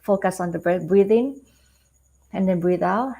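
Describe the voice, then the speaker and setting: Calm Thai woman teaching meditation. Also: mid-range voice, gravelly, slightly rough. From Thailand. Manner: calm, unhurried, many fillers and restarts. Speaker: Buddhist nun teacher. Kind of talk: dharma talk. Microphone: video call webcam.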